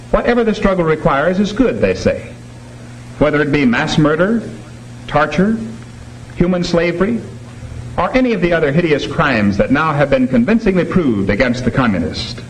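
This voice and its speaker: A man's voice: mid-range voice, close to an everyday voice. A man lecturing formally and emphatically as a teacher in a 1950s educational film, on a noisy old soundtrack. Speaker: teacher leading a classroom discussion.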